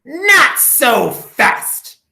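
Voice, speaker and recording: A man's voice: extremely high pitch, sing-song, very slow, creaky, bright, forceful tone, pitched above the performer's own voice, heavily acted. A man voicing a wicked, strict woman substitute teacher who snaps and hisses her commands in a storybook reading. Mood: menacing. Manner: snapping, hissing, commanding.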